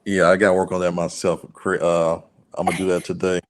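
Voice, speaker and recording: Man, podcast host, lowish voice, relaxed, joking, casual questions. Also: fairly quick.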